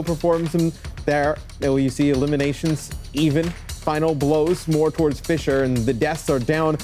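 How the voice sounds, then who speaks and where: A man's voice: on the high side, darkish tone. A man on a casual esports panel, talking as an analyst and host with playful storytelling.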